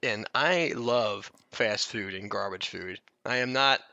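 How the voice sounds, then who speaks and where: A man's voice: on the low side, slow, gravelly, pushed and bright, no echo. A man co-hosting a podcast in casual banter, talking in a slangy, blunt, joking way, on a slightly dull recording. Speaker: podcast co-host.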